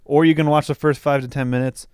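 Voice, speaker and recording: A man's voice: a little high, fairly even intonation, fast talker, darkish tone, clear, resonant tone, dry recording, clean studio sound. A man co-hosting a podcast, giving opinionated, mildly profane takes in casual movie-review chat. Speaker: podcast co-host.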